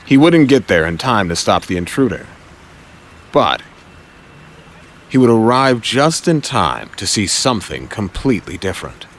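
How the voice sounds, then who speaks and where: A man's voice: on the low side, very animated, normal speed, pauses often, a little hiss or hum. A man narrating scripted action in a dramatic audio drama style.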